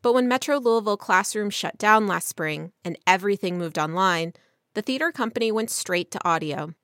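A woman radio reporter delivers scripted news narration at a medium pitch. The sound is very dry, as if close and free of any room. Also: animated, normal speed, a bit bright, no echo.

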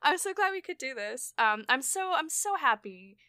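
A woman hosting a podcast, talking casually in an animated way with frequent restarts and exasperated asides. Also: sits high, normal speed, dry recording, studio quality. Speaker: podcast host. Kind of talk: casual conversation.

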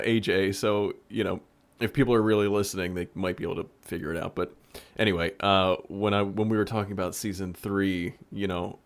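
A man with a lowish voice speaks as a producer in a casual recap. He tells his stories in an animated way, acting out his own reactions. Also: smooth. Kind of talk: casual chat, anecdotal recap.

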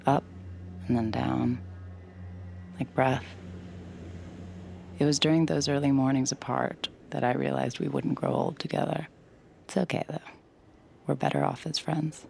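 An actress playing a young adult mind reader in an audio drama, narrating her thoughts quietly and reflectively, sometimes in a whisper. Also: extremely low pitch, steady tone, unhurried, creaky, pauses often, a little hiss or hum, exaggerated voice. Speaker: mind-reading lead character.

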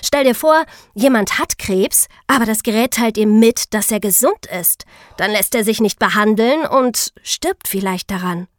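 A woman's voice: mid-range voice, dry recording, clean studio sound. A woman co-hosting a podcast, giving opinions in a scripted discussion. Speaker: podcast co-host.

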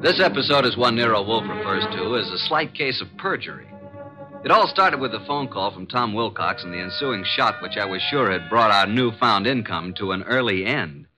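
An actor playing a brash, eager young detective's assistant in old radio drama, with slangy, wisecracking talk. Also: male voice, lowish voice, close to an everyday voice.

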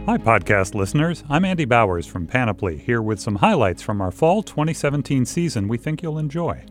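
A man hosting a podcast network trailer, reading scripted promo narration in an upbeat, even announcer manner. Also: mid-range voice, fairly quick, over music. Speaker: network host.

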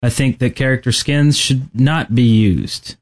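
A man's voice: medium pitch, measured pace. Casual, joking man co-hosting a podcast. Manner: joking, informal. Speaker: podcast co-host. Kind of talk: casual gaming podcast chat.

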